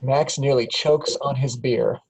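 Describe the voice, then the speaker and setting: A man's voice: mid-range voice, fairly even intonation, unhurried, creaky, crowd noise. A man reading the stage directions aloud at a script table read, plain with small chuckles.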